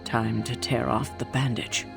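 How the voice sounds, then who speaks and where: Stern, weary leader over music. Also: female voice, very deep voice, flat delivery, a little slow, vocal fry, exaggerated voice. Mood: grave. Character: stern, weary woman leader rallying a team.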